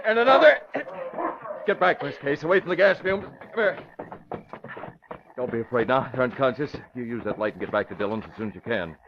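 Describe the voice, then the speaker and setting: An American actor playing a commanding, protective masked hero in an old-time radio drama, clipped and urgent. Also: male voice, medium pitch, lively intonation, brisk, long pauses, close to an everyday voice. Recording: dull, noisy old radio recording.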